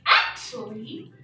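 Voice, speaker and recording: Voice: very high voice, vocal fry, sharp tone, exaggerated voice. A performer voicing a sly, hungry old witch in a puppet show, cackling and feigning grandmotherly sweetness with stretched-out words. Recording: echoey room, distant mic, music behind.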